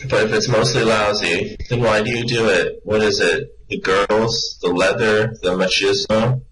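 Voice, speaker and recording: A man playing a quick-thinking, sardonic space traveller in a comic sci-fi radio play, persuasive and improvising as he goes. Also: fairly low pitch, fairly even intonation, fairly bright tone, a little hiss or hum, low-quality recording. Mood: coaxing. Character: quick-thinking, wry male space traveller, coaxing and unflappable.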